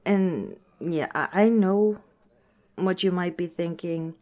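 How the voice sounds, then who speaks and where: Woman voicing a slow, gentle orc tavern keeper. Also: on the low side, lo-fi sound. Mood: confiding. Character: gentle, wistful female orc tavern owner. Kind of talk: ASMR roleplay storytelling.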